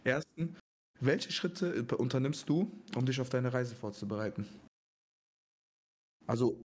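A man hosting a casual podcast chat, animated and exaggerating for effect. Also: mid-range voice, a little slow. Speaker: podcast host.

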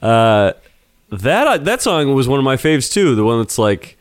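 A man's voice: medium pitch, sing-song, unhurried, clean tone. A man co-hosting a podcast, bantering casually about music with wry, joking remarks. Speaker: podcast co-host.

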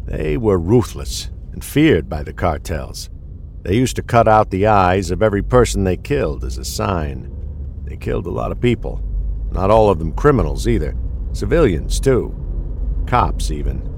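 A man acting a world-weary veteran cop in a crime audio drama, low-voiced and curt. He is an older detective, gruff and blunt, close to retirement. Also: big pitch swings, normal speed, darkish tone, slight background noise, exaggerated voice. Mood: grim recounting. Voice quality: low-pitched.

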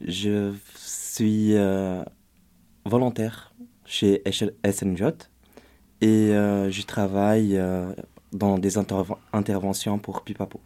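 A man's voice: fairly low pitch, little pitch movement, slow pace, dark tone. A man in his twenties of Brazilian origin, giving a brief self-introduction as a guest in a studio. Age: 20s. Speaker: volunteer, podcast guest.

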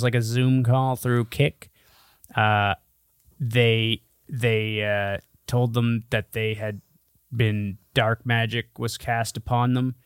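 A man's voice: medium pitch, clear, resonant tone, no room sound. A man talks as a podcast co-host in loose, argumentative banter. He is blunt and skeptical and swears freely. His voice is recorded dry, with hardly any room sound.

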